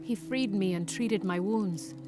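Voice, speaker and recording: A woman's voice: mid-range voice, a little slow, lightly acted. A woman playing a lovelorn outcast villager, tenderly reminiscing in video game dialogue. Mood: wistful. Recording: game music behind the voice. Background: music.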